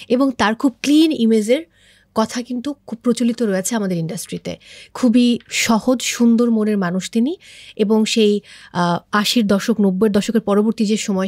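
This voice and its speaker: A woman's voice: fairly high pitch, darkish tone, dry recording. Bangladeshi woman interviewer, close dry sound. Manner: mixes English words into Bengali. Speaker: talk show host. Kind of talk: conversational television interview.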